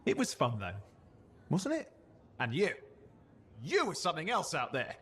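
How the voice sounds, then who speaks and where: A man's voice: fairly high pitch, wildly swooping pitch, normal speed, a bit bright, breathy edge, full character voice. An actor playing a cheeky, playful young man in a video game, with a teasing, flippant manner that covers old hurt. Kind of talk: video game cutscene acting.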